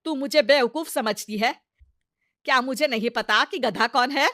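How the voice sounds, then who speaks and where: A bright, forceful village mother-in-law, acted by a woman, high in pitch and recorded dry. She is bossy, stubborn and quick to temper. The delivery is loud, theatrical comic storytelling. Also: no echo. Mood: angry.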